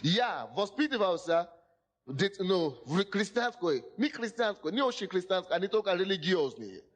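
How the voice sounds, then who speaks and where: A man's voice: high voice, big pitch swings, moderate pace. A male pastor speaking Russian as a second language, preaching emphatically to a congregation and repeatedly asking whether they hear him. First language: not Russian.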